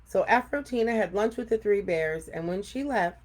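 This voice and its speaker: A woman's voice: medium pitch, lively intonation, normal speed. A middle-aged or older woman hosting a read-aloud, narrating for children and chatting casually. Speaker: read-aloud storytime host. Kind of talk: expressive children's story narration and casual chat.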